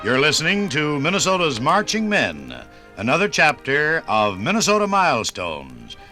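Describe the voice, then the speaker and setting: Upbeat 1950s radio announcer. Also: male voice, a little high, huge pitch swings, moderate pace, soft background music. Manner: bright, upbeat salesman delivery.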